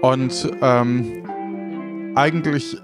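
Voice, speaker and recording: A man hosting an audio play as game master, talking casually and informally with halting phrasing. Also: medium pitch, fairly even intonation. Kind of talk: casual podcast talk and narration. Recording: music behind the voice.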